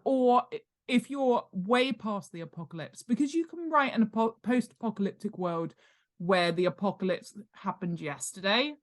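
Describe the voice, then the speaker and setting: A middle-aged woman speaks as an expert guest in an informal interview. Her voice sits at a medium pitch. She explains emphatically and keeps checking that the listener follows. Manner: animated, emphatic, checks in with "right?" and "okay?". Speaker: guest author and world-building expert.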